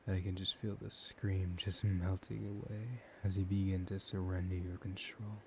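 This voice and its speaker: A man acts out a seductive male vampire with a low, dark voice that stays level and controlled. He is slow, dominant and teasing, often sinking into a whisper as in an ASMR roleplay. A steady hiss sits behind the voice. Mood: hypnotic, seductive. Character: dominant, seductive male vampire hypnotizing his captive lover. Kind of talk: soft-spoken ASMR roleplay.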